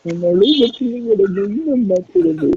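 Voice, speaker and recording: Man doing a swooping, creaky, whiny homeless boy. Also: very high-pitched, lively intonation, fairly quick, a crowd in the background, heavily acted. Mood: whiny suspicious. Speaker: prank caller. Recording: dull, noisy phone prank recording.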